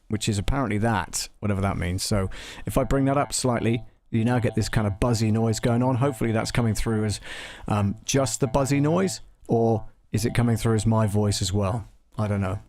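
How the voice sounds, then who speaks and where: Man, lowish voice, live tutorial host, hesitant, thinking aloud, muttering through a software demo. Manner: hesitant, muttering to himself as he works. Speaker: host of an audio production live stream tutorial.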